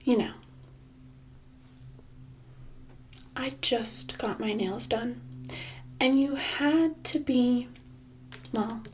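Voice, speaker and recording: A woman playing a smug, controlling boss in a soft-spoken ASMR roleplay, with condescending, mock-sweet, drawn-out delivery. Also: on the high side, a little hiss or hum. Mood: smug, menacing. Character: smug, controlling female boss holding an employee captive.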